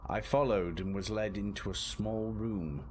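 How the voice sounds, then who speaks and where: A man playing an earnest, polite Victorian English gentleman, narrating his travels formally in a radio drama. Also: fairly low pitch, measured pace, close to an everyday voice. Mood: puzzled, reflective. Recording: clear background ambience noise.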